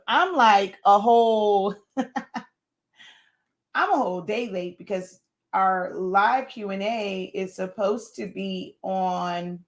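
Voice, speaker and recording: A hoarse woman hosts a casual livestream, talking loosely to viewers as they join. Her voice is worn and scratchy, her speech full of fillers and little laughs. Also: medium pitch. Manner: warm greetings, frequent laughs and fillers. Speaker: host.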